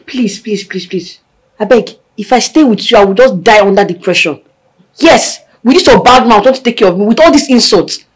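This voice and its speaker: A woman's voice: on the high side, expressive, fairly quick, husky, poor audio. An actress playing a weary young woman, pleading and defiant. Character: weary young woman fleeing an insulting friend.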